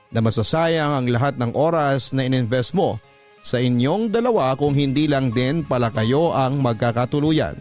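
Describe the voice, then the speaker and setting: A man reads a listener's confession letter aloud in the first person, as in classic radio drama. His voice is mid-pitched and confiding. Soft music sits faintly behind him. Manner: confiding, storytelling. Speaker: narrator reading a listener's letter. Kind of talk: scripted radio narration.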